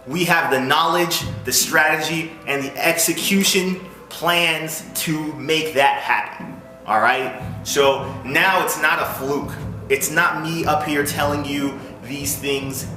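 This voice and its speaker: A man's voice: a little high, sharp tone. A man giving an informal, instructive talk to viewers as a music business owner, often checking in with short questions. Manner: instructive, punctuated with check-in questions like "okay?" and "all right?". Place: music studio. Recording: roomy echo, music in background.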